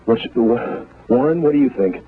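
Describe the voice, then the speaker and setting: A man's voice: medium pitch, lively intonation, moderate pace, slightly rough. A man phoning in to a radio call-in show, heard over a phone line. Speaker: radio call-in prank caller.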